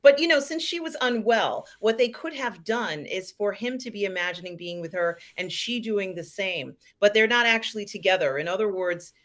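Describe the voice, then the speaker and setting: A woman with a medium-pitched voice speaks as a co-host in an informal chat. Her delivery is conversational and casual, like talk among colleagues on a show. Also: lively intonation, normal speed, hardly any pauses, fairly bright tone.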